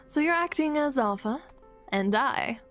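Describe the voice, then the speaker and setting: A woman's voice: high voice, animated, a little slow, fairly bright tone. Woman acting a smug witch, game roleplay, coy, hesitant, teasing, faint music behind. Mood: sly, bargaining. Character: sly, smug witch woman with hidden motives. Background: background music.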